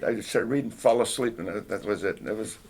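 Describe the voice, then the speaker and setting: An older man whose first language is Finnish, with a low, gravelly voice. He answers an interviewer slowly and thoughtfully, often restarting sentences and clearing his throat as he searches his memory. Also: darkish tone. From Canada. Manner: hesitant, reflective, frequent restarts and throat clearing. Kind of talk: oral history interview.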